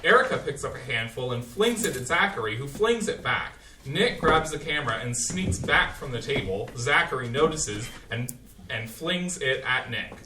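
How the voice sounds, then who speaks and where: A man's voice: mid-range voice, moderate pace, very noisy. Man reading stage directions from afar. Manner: plain, even narration. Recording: distant, roomy stage sound.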